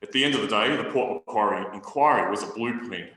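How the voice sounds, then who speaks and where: A man giving a history talk to an audience, in a measured academic way with frequent restarts. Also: on the low side, bright, forceful tone, slight background noise. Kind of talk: lecture with audience Q&A. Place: hall with audience. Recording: very echoey room recording.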